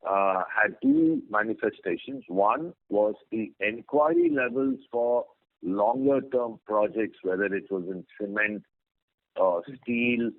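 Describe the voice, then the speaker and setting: A man speaking as a company executive on an earnings call, giving business updates in measured, hesitant phrasing. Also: on the low side, no echo. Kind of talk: business update and answering analyst questions. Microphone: phone conference line. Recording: phone-like conference call line.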